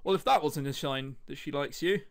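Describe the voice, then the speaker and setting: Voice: mid-range voice, brisk. A let's-player of unclear sex giving casual commentary and reading a visual novel's text aloud, with wry asides. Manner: self-interrupting, wry joking asides. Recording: music behind the voice. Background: game music.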